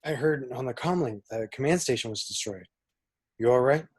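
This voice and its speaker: A brisk rebel rogue, acted by a man, husky and medium-pitched. He is casual yet commanding, urging people along in a film script table read. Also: close to an everyday voice. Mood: urgent. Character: brisk, bossy, roguish male rebel pilot hero.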